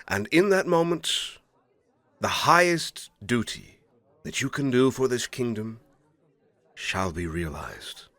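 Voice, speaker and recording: A man's voice: medium pitch, lively intonation, takes it slowly, a bit bright, no room sound. An actor playing a stern, imperious older king in an audio roleplay drama, speaking formally and with weighty dramatic emphasis. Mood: solemn, persuading.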